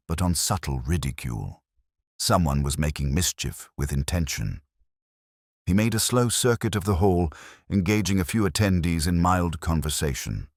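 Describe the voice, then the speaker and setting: A man narrating a scripted mystery story in a measured, formal, literary manner. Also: sits low, dry recording, studio quality. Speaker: audiobook narrator.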